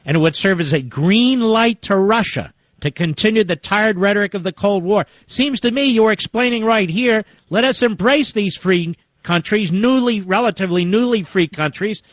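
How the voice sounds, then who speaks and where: A man's voice: high-pitched, moderate pace, few pauses, no room sound. A man hosting a talk radio show, reading quotes aloud with sarcastic asides and interviewing a guest. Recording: dull lo-fi radio audio.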